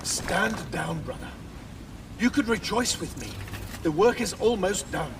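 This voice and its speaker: An actor playing a grandiose, contemptuous villain with a pompous, condescending manner in a theatrical video game style. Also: male voice, high-pitched, animated, measured pace, vocal fry, heavily acted. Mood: lofty contempt. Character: grandiose, contemptuous male villain, coldly domineering brother. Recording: game audio with loud ambient noise.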